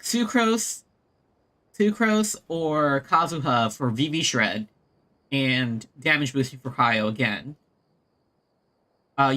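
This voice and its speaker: High-pitched, lively male game streamer. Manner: halting, thinking aloud. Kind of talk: casual chat while playing a game. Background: soft game music.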